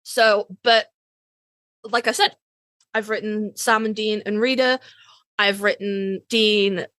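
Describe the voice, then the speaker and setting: A woman's voice: medium pitch, fairly even intonation, takes it slowly, pushed and bright, no room sound, studio quality. A British woman co-hosting a podcast in casual chat, informal and sweary. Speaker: podcast co-host.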